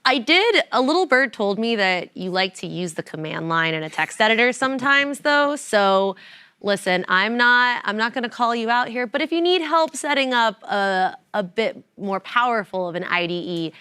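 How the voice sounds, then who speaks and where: A woman's voice: on the high side, lively intonation, normal speed, bright, forceful tone, clear, resonant tone, no room sound, clean studio sound. A woman co-hosting a live tech event stream, bubbly and playful in her banter. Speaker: event co-host.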